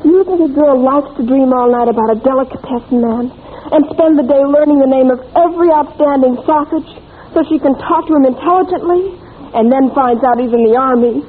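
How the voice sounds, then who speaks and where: A woman's voice: sits high, a little fast, natural voice. A woman acting a young manicurist sweetheart in a 1940s radio play, wounded, wry and melodramatic. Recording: tinny, noisy old radio recording.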